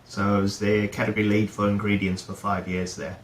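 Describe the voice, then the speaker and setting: A man's voice: fairly low pitch, flat delivery, brisk, roomy sound, slight background noise. A middle-aged man from New Zealand, a guest talking casually about his career, with many fillers and restarts. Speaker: guest, head of procurement. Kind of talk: casual interview chat.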